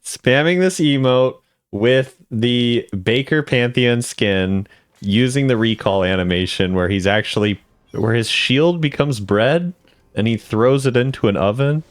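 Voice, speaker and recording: A man's voice: mid-range voice, unhurried, dry recording. A man hosting a gaming video, giving casual commentary with informal, musing reactions.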